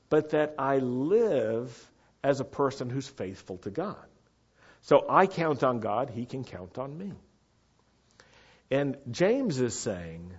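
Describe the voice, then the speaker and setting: A man's voice: medium pitch, a little mellow, slightly rough. A man giving a teaching sermon to a live congregation, sometimes putting questions to the audience. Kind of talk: Torah-portion sermon. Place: meeting room with a live congregation. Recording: muffled lo-fi recording.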